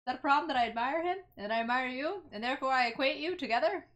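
Woman player, high voice, fast snarky banter at the game table. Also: lively intonation, highs cut off, crowd noise. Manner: quick, sarcastic banter. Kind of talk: tabletop game play, in and out of character.

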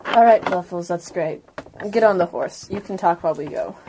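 A woman improvising in character as a player in a tabletop role-playing game, brisk and no-nonsense. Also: on the low side, background noise.

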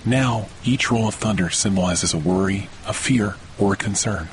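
A man narrating a slow, scripted guided sleep meditation in a calm, measured way. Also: on the low side, background noise. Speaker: meditation podcast host. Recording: rainstorm and thunder under the voice. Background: steady rain and thunder.

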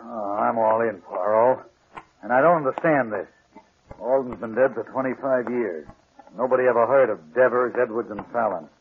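An actor playing a blunt, plain-spoken American police inspector in a 1940s radio drama. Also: male voice, mid-range voice, normal speed, slight background noise, lightly acted. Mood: grumbling. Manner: informal, blunt. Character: grumbling, plain-spoken male New York police inspector. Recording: tinny old radio recording, background music.

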